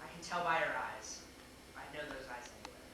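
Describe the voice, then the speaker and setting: A man plays an earnest young suitor in a stage comedy, emphatic and easily indignant. His bright, forceful voice rings in a very echoey theater, picked up from a distance with faint noise. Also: mid-range voice, fairly quick, lightly acted. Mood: amused. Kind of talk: stage acting in a one-act comedy.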